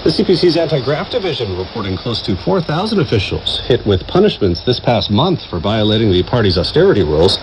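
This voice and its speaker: A man reading a scripted radio news bulletin in a formal, measured manner. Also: on the low side. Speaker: news presenter. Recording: noisy shortwave radio reception. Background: radio static and noise.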